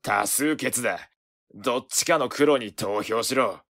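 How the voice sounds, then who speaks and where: A woman playing a gruff, impatient young man in an audio drama, curt and husky. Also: extremely low pitch, measured pace, fairly bright tone, faint music behind, heavily acted. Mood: impatient, barking.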